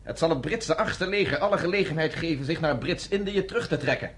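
Husky, dutiful army lieutenant. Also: male voice, fairly high pitch, expressive, fairly quick, a bit bright. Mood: quietly defiant. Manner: formal, terse, soldierly. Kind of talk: Dutch radio drama acting.

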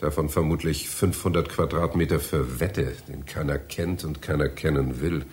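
A man's voice: very deep voice, fairly even intonation, moderate pace, darkish tone, a little hiss or hum. An actor in a radio play playing a fussy, bewildered male library reader who talks in a theatrical, pedantic way. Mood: muttering, bewildered. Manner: theatrical, pedantic, muttering to himself.

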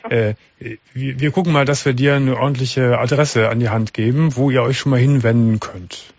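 A man hosting a late-night radio call-in show, giving callers calm, direct advice with frequent restarts. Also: medium pitch, normal speed, dry recording, muffled recording. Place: radio studio.

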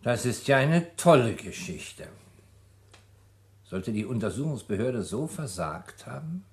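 A man's voice: medium pitch, very animated, a little slow. A man acting in a German radio crime drama, playing a composed public prosecutor who questions formally and sceptically, with dry irony. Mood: sceptical, amused.

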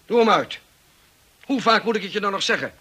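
An actor playing a stern, hot-tempered husband in a radio drama, barking commands in a forceful, rough voice. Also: male voice, sits high, lively intonation, fairly quick, full character voice. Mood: furious.

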